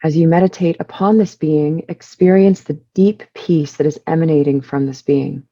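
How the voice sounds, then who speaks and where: A woman leading a guided meditation, giving soothing instructions in measured short phrases with pauses between them. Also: sits low, steady tone, warm tone, dull audio.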